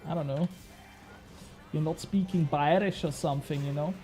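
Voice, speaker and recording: A man's voice: a little high, fairly even intonation, normal speed, dark tone, soft background music. A young man from Switzerland, a native Swiss German speaker, chatting casually with his viewers while he plays a game. He rambles, mocks himself, swears casually and laughs a lot. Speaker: game streamer. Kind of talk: casual live-stream chat while gaming.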